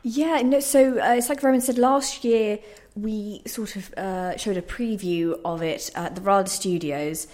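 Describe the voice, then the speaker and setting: A woman's voice: medium pitch, a little slow, few pauses, a little mellow. A woman speaking earnestly and reflectively as a playwright being interviewed in conversation on the radio.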